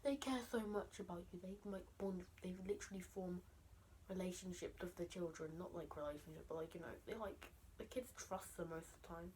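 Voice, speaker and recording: A teenage girl venting to camera late at night, speaking softly and often in a whisper, raw and emotional, her tone shifting as she goes. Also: on the low side, a little fast, slight background noise, low-quality recording. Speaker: vlogger. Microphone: camera mic.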